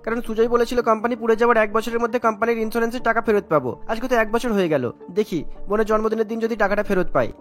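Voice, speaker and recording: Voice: medium pitch, racing, few pauses, soft background music, close to an everyday voice. A performer voicing a bitter, hot-tempered young husband in a cartoon, with resentful delivery. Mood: brooding. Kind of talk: Bengali cartoon dubbing.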